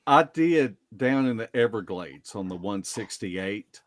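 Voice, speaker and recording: A man telling field stories casually as a podcast guest, in a halting way with drawn-out pauses. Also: lowish voice, expressive, dry recording, studio quality. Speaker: guest, Bigfoot field investigator. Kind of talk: casual storytelling in a live podcast interview.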